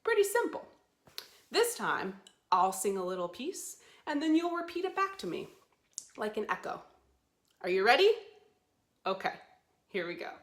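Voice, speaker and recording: A woman hosting a storytime for young children, talking to them in an animated way with simple questions and encouragement. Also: on the high side, moderate pace, long pauses. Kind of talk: children's storytime presenting to camera. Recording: roomy, echoey sound.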